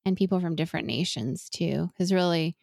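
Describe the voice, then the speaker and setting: A woman's voice: lowish voice, fairly quick, gravelly, a little mellow, dry recording, clean studio sound. A woman in her forties who grew up in Venezuela, talking earnestly and thoughtfully as an interview guest, often restarting her sentences. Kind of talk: reflective interview conversation.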